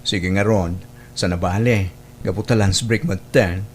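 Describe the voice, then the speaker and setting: A man's voice: lowish voice, steady tone, measured pace, a little hiss or hum, lightly acted. A man with an Ilocano Filipino accent acting a plain-spoken office colleague and family friend in an audio drama. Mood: curious, concerned.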